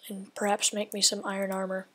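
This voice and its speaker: A woman's voice: mid-range voice, completely flat delivery, a little fast, pushed and bright. A woman giving casual gameplay commentary, thinking aloud in a rambling way and often dropping to a whisper. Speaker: gaming video creator.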